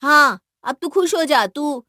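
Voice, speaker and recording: Woman playing affectionate young bride in audio drama, high animated voice, emotional, teasing streak. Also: slow, dry recording, studio quality, exaggerated voice.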